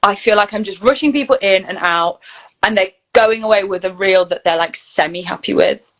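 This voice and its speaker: A woman's voice: mid-range voice, a little fast, a bit bright, low-quality recording. A British woman talking casually as an interview guest, explaining her work in a chatty way and often acting out bits of dialogue. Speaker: guest, voice reel studio founder and actor.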